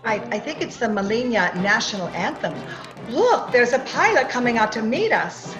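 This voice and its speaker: An eager, scheming young confidante in a radio play, acted by a woman. Her voice is high and very animated, set in an echoey room with clear music behind. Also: normal speed, lo-fi sound. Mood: urgent, advising. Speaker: radio drama actor. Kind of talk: old-time radio drama acting. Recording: echoey video-call recording.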